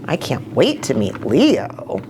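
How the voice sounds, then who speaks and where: A woman reading a story aloud for young children as a church storyteller, expressive, with chatty asides. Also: extremely low pitch, slow pace, a little hiss or hum.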